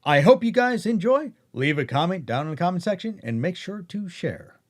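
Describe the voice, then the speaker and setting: A man giving a rambling, casual update to viewers, halting and often rephrasing himself as host and narrator. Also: sits high, very animated. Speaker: audiobook narrator and channel host.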